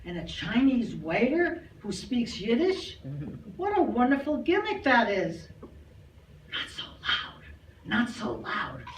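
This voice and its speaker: A woman telling a humorous anecdote to an audience at a live club reading. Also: medium pitch. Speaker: reader at a club reader's theater. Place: clubhouse, live performance. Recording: roomy, distant, noisy live recording.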